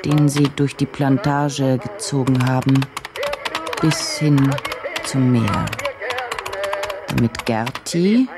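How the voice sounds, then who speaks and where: Radio drama: driven, brooding female journalist, low, slow, terse inner monologue over clearly audible typing noise. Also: heavily acted. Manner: terse, introspective, deliberate. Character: driven, self-questioning female journalist from Berlin.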